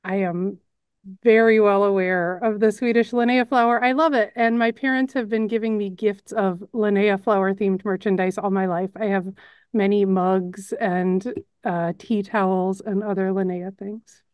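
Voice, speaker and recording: A middle-aged woman with a Chicago accent talks casually and matter-of-factly about her work. Her voice sits at an ordinary pitch for a woman and sounds dry and close, with no room echo. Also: fairly even intonation, normal speed, a little mellow, clean tone. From Chicago suburbs. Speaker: news editor and lead narrator. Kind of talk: casual on-camera chat.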